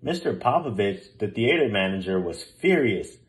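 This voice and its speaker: A man's voice: fairly low pitch, sing-song, moderate pace, poor audio. A man reading a children's picture book aloud as narrator. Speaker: storybook reader. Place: small room at home, indoors.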